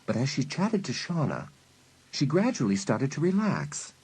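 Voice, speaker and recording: A man acting as the measured storyteller of a suspense audio drama, reading scripted narration. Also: medium pitch, wildly swooping pitch, a crowd in the background, low-quality recording. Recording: very loud ambient sound effects behind.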